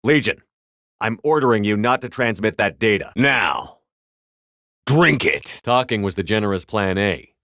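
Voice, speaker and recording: A man's voice: on the low side, lively intonation, unhurried, fairly bright tone, no echo, studio quality. A man voicing a tough, commanding space soldier and squad leader in a video game, with terse, firm delivery. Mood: stern. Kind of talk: cinematic game dialogue lines.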